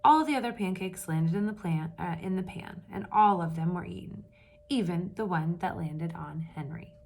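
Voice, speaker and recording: A woman reading a picture book aloud to children with lively expression, pausing to ask her listeners questions in hushed asides. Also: lowish voice, gravelly. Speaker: read-aloud storyteller for children.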